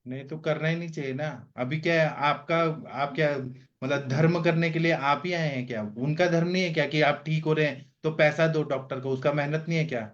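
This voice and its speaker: A man leading an online medical session, speaking bluntly with a run of rhetorical questions. His voice sits fairly high. The call audio is dull and lo-fi. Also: steady tone, brisk, hardly any pauses. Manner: direct, emphatic, rhetorical questions. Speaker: Ayurvedic doctor hosting an online consultation session. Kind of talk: casual instructive talk. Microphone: video call.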